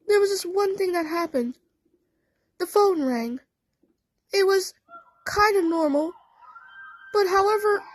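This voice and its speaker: A performer voicing a female cartoon cat scientist telling a story in a halting, rambling way, in a fan audio play. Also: extremely high pitch, no room sound.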